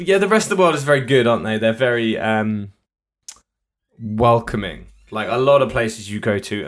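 A young man from the UK, co-hosting a podcast in casual, animated chat. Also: on the low side. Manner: chatty, animated, bursts of laughter. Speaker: podcast co-host.

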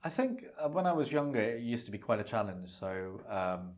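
A man's voice: fairly low pitch, big pitch swings, normal speed. A middle-aged man whose first language is Punjabi, speaking as an interview guest in a reflective, rambling way with many fillers and restarts. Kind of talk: relaxed conversational interview.

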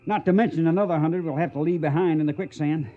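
An actor playing a gruff, hot-tempered trail boss in a radio western, with a folksy American Western drawl and an emphatic, commanding way of talking. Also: male voice, a little high, steady tone, fast talker, narrow, tinny audio, lightly acted. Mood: grumbling. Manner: folksy, emphatic, barking orders.

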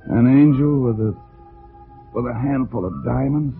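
An actor playing a wry, wisecracking hard-boiled detective, hesitant and dryly humorous, in 1940s radio style. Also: male voice, medium pitch, unhurried, gravelly. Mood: dazed. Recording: tinny 1940s radio, music behind.

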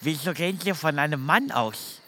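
Man playing bright, formal, put-upon policeman. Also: on the high side, expressive, moderate pace. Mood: dutiful, put-upon. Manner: formal, deferential. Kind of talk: comedic audio drama acting.

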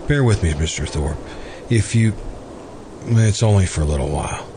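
A man acting an earnest, lovesick, quietly obsessive man in an old-time radio drama, halting and pleading as he repeats himself. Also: low-pitched, lively intonation. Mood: brooding, resigned. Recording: noisy amateur radio drama recording.

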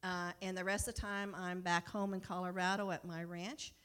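A woman's voice: on the low side, little pitch movement, moderate pace, fairly bright tone, clean tone. A middle-aged or older American woman giving an informal, enthusiastic personal talk to a congregation as a mission worker. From United States. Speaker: mission partner for a hospital, guest speaker.

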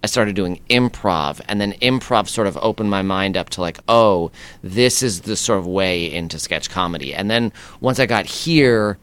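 Young man, Bay Area raised, interview guest; smooth resonant low voice, loose and chatty. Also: moderate pace, a bit bright. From San Francisco Bay Area. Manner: easygoing, many fillers and restarts, laughs often. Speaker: guest comedian. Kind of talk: casual interview conversation.

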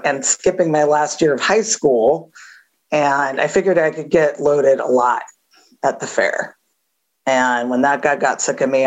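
A woman with a gravelly voice giving a candid recovery share, halting with many fillers and a wry edge. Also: a bass voice, low-quality recording. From Southern California. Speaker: AA speaker.

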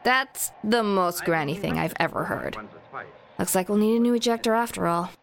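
A woman's voice: mid-range voice, normal speed, creaky, natural voice. A woman playing a dry, unimpressed, streetwise tinkerer with casual, exasperated delivery in a sci-fi comedy audio drama. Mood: exasperated, wry.

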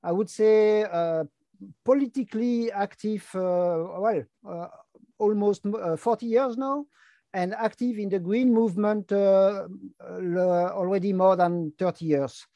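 A man's voice: high voice, mellow, dry recording. Hesitant Belgian-French-accented man in his fifties. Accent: French-speaking Belgian. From Brussels, Belgium. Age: 50s. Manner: halting, frequent hesitation fillers. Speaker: guest, longevity activist. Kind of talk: casual interview talk.

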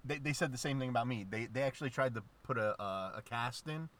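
A man's voice: mid-range voice, quick pace, fairly bright tone. A man, co-hosting a podcast with teasing jokes and stammering restarts. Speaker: podcast co-host. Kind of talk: casual podcast banter.

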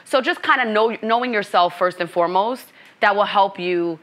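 A middle-aged woman from Brooklyn, a coach being interviewed, speaking in a thoughtful, measured way. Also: medium pitch, a bit bright. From Brooklyn, New York. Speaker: coach and author. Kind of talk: interview conversation.

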